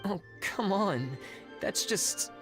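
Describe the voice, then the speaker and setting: A timid, flustered young man in a video game. He speaks slowly and haltingly, his pitch wavering, over background music. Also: animated, exaggerated voice. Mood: flustered protest. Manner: halting, stammering. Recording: music behind the voice.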